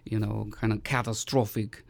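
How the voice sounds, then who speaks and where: A man's voice: lowish voice, flat delivery, normal speed, gravelly, no echo. A man from Romania co-hosting a casual Bible study talk, thoughtful and asking questions as he goes. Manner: thoughtful, asks questions, many fillers. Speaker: podcast co-host.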